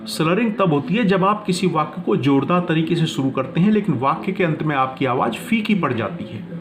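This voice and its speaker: A man with a somewhat high-pitched voice presents a lesson from his notes. He moves through the material point by point, reading and then explaining in an instructional manner. There is some light noise behind his voice. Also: animated, brisk. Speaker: teacher presenting class notes.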